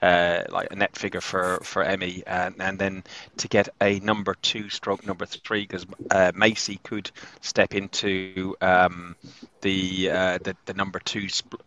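A man's voice: deep voice, completely flat delivery, a little slow, bright, forceful tone. A man hosting a casual football chat, hesitant and full of restarts and ums. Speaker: podcast host.

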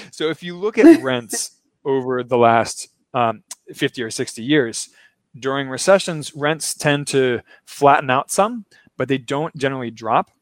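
A man's voice: medium pitch, big pitch swings, measured pace, dry recording. A man hosting a casual finance chat, explaining ideas in a loose lecturing way with frequent laughs. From Baltimore, Maryland. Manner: laughs often, talks with a loose lecturing rhythm. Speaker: show host. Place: remote, in Brazil.

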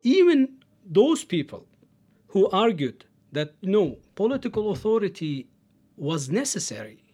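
A man from Turkey, a history scholar, explaining in a measured way and stressing key words. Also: sits high, sing-song, dark tone, faint music behind. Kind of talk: interview excerpt, explanatory.

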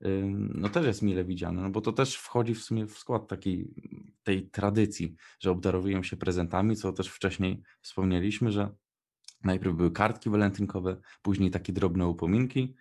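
Young man, low pitch, radio co-host, casual chat, informal hesitant phrasing. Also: fairly even intonation, normal speed, darkish tone.

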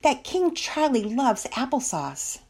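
A woman's voice: sits high, sing-song, slow pace, a little mellow, breathy edge, pitched above the performer's own voice, exaggerated voice. A woman voicing a judgmental, exasperated girl's indignant, sarcastic thoughts in a storybook reading. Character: judgmental, exasperated girl stewing inwardly.

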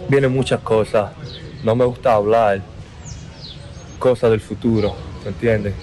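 A young Dominican man with a low, level voice gives a reporter his answers. He talks haltingly, circling back over his own words, and his tone hardly rises or falls. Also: fairly even intonation, dark tone, slight background noise. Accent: Dominican Spanish. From Dominican Republic. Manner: slow, halting, repeats words. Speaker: basketball player interviewed. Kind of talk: outdoor interview.

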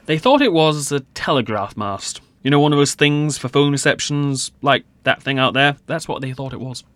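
A man's voice: mid-range voice, animated, normal speed, a bit bright, dry recording, natural voice. A man playing a talkative, easygoing, joking secondary-school pupil in a light comedy audio drama, rambling playfully.

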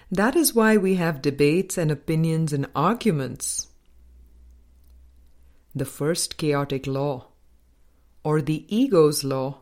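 A woman reading a spiritual book aloud as a scripted audiobook, in a measured, deliberate manner with pauses between phrases. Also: lowish voice, darkish tone. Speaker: audiobook reader.

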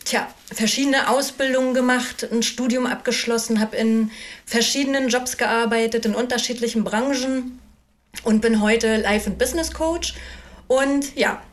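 A woman's voice: a little high, fairly even intonation, normal speed. A woman in her early forties from northeastern Germany, a life and business coach, chatting cheerfully as a podcast guest. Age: 40s. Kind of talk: casual conversational podcast.

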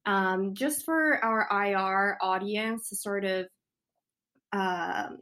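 A woman's voice: medium pitch, takes it slowly, fairly bright tone. A young woman co-hosting a student podcast, asking questions in a friendly manner with frequent um fillers.

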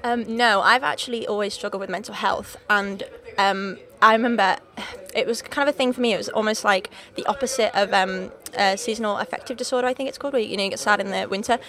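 A woman's voice: mid-range voice, quick pace, hardly any pauses, sharp tone. A young woman from North Wales with a Liverpool-leaning accent, chatting as a podcast guest with lots of fillers, restarts and laughs. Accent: Liverpool-leaning North Welsh. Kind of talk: casual interview chat.

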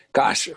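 A man reading the part of an impatient character who loses patience easily and needs his coffee, in a staged play reading. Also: rough recording. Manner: exasperated, quick to lose patience. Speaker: reader in a readers theatre play.

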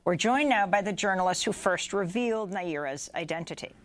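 A woman news anchor reading a scripted report in a measured, formal way. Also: on the low side, animated.

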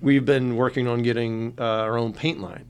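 A man's voice: mid-range voice, fairly even intonation, normal speed, darkish tone. A man co-hosting a casual chat, explaining things in a conversational way. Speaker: podcast co-host. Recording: clear background noise with hum.

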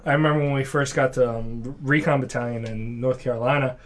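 A man's voice: mid-range voice, steady tone, a little fast. A man in his twenties, a former marine guest, talking earnestly over drinks, laughing easily and trailing off. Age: 20s. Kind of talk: casual chat over drinks.

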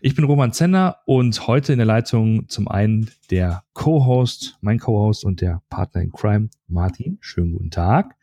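A man hosts a tech podcast, greeting his guests and moving the talk along in an upbeat, friendly tone. His voice is dark and mellow. The recording sounds close and dry, with no room around it. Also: lowish voice, animated, normal speed, hardly any pauses, dry recording. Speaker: podcast host. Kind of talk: podcast hosting.

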